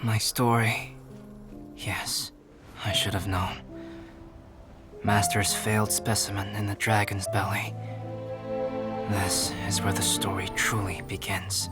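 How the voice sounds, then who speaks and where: An actor playing a calm, scholarly young male alchemist in a game, speaking in measured, formal, explanatory phrases. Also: on the low side. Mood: hushed, brooding. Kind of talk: scripted game dialogue, explanatory. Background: music.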